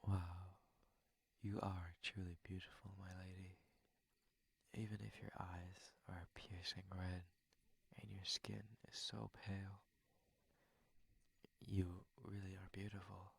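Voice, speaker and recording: A man playing a courteous, shy, flustered young prince in an intimate ASMR roleplay, speaking gently and hesitantly in formal phrases, often in a breathy whisper. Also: low voice, flat delivery, takes it slowly, long pauses, exaggerated voice. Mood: tender, bashful.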